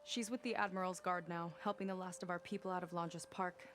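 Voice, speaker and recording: A woman's voice: lowish voice, fairly even intonation, fast, a bit bright, soft background music, lightly acted. A woman playing a sincere, capable young ally in a video game, earnest and matter-of-fact. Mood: resolute. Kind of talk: naturalistic video game dialogue acting.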